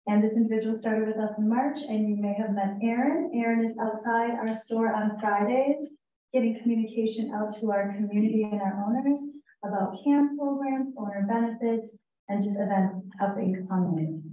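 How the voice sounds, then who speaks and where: A woman with a raspy voice presenting at a co-op's annual meeting, talking in an upbeat, informal way with some ums. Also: a little high, normal speed, mellow, distant mic, a little hiss or hum. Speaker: co-op staff presenter. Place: meeting room. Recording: very echoey room, away from the mic.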